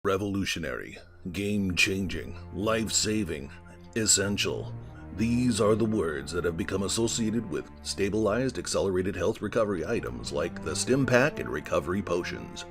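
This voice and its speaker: A man playing a polished narrator of futuristic features, reading scripted audio-drama narration in a measured, expressive way. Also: sits low. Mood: polished, engaged. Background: music with guitar.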